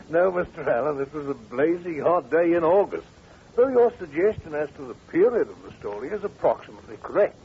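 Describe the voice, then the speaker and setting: An actor playing a genial older English gentleman, chatty and avuncular, telling stories in old radio drama style. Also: a little high, very animated, a little fast. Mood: genial reminiscing. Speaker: narrator and sidekick. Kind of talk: 1940s radio acting. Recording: muffled, faintly noisy old radio recording.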